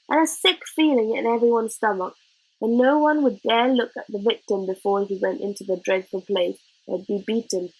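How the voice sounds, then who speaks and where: A woman reading a children's novel aloud as narrator, in an expressive storybook style. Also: mid-range voice, breathy edge, rough recording.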